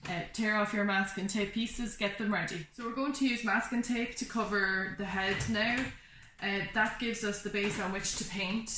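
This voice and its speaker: A woman's voice: mid-range voice, a bit bright. A woman giving a casual, hands-on craft tutorial, explaining each step in an informal, reassuring way. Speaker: craft workshop instructor. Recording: echoey room with background noise, clicks.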